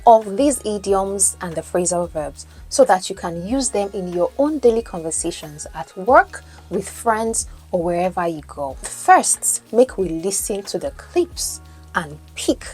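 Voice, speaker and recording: A woman's voice: medium pitch, lively intonation, unhurried, a little mellow, husky, soft background music. A Nigerian woman whose first language is Yoruba, teaching English as a coach and podcast host, explaining and asking the listener questions. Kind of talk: teaching lesson, mixing in Pidgin.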